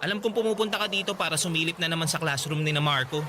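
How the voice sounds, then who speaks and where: High-voiced lovesick teenage schoolboy played by a man. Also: very fast, noisy background, lightly acted. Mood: eager, tender. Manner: pleading, insistent, earnest. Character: lovesick, persistent teenage schoolboy, earnest suitor. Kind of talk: Tagalog radio drama acting.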